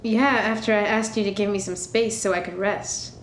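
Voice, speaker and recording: A woman's voice: medium pitch, steady tone, normal speed, lightly acted. A woman playing an exasperated host standing her ground in a radio thriller, firm, terse and indignant. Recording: echoey room, faint background noise.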